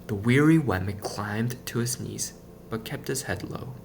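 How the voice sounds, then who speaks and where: A man reading a children's story aloud with expressive, dramatic emphasis. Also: on the low side, unhurried, creaky, slight background noise. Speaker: storybook reader.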